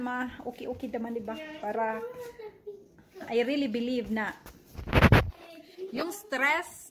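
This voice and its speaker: A woman's voice: fairly high pitch, a bit bright, slight background noise. A woman in her forties from Bohol in the Philippines, with a Visayan Filipino accent, chatting casually to the camera at home and laughing often. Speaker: vlogger. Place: home living room, indoors. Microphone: camera at arm's length, then on a stand.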